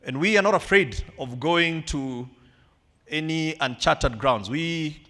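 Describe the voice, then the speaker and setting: A man delivers a keynote from the podium, his voice sitting a little high for a man. He speaks in measured, emphatic phrases and leaves pauses between them, heard through a podium mic in a large hall. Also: pushed and bright. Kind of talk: formal keynote address.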